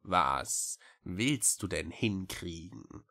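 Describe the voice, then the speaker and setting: A woman's voice: rumbling low, expressive, drawn-out words, fairly bright tone, heavily acted. A woman voicing a grumpy, weary witch-like creature in an audiobook, sardonic and grudging, with a sighing drawl. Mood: weary, dismissive. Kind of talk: audiobook character voice.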